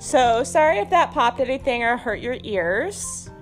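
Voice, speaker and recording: A woman's voice: a little high, big pitch swings, measured pace, over music. A woman hosting a solo podcast in a casual, rambling stream of consciousness, playful and self-deprecating. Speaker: podcast host.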